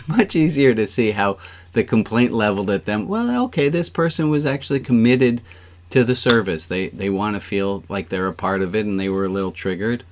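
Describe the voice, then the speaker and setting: A man's voice: lowish voice, expressive, moderate pace. Man rabbi leading an informal online group. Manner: conversational, asks the group questions. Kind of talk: informal interactive group service talk. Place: online video-call gathering.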